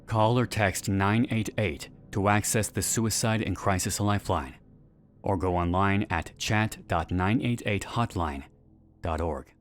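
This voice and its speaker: A man reading a scripted video-essay narration in a measured, earnest and solemn way. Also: low-pitched. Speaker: narrator of a series analysis video. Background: background music.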